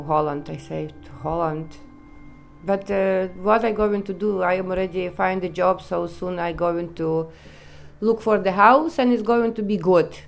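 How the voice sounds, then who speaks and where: A woman from Ukraine with a Ukrainian accent, telling her own story in halting, broken English with many pauses. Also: fairly low pitch. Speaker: interviewee. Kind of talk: personal testimony in non-native English.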